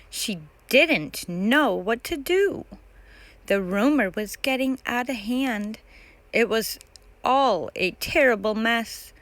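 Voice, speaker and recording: A woman reads a children's picture book aloud in a fairly high voice. Her pace is very slow and measured, and the sound is dry and close, with little room to it. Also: fairly bright tone, dry recording. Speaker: storytime host reading a picture book. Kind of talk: read-aloud children's story narration.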